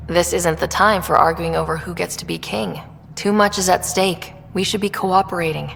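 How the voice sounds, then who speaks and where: A woman's voice: lowish voice, fairly even intonation, moderate pace, creaky, a bit bright. A woman playing a young, determined explorer leader in a video game, earnest and businesslike. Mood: earnest, firm. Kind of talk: video game dialogue acting. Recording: reverberant hall with background noise.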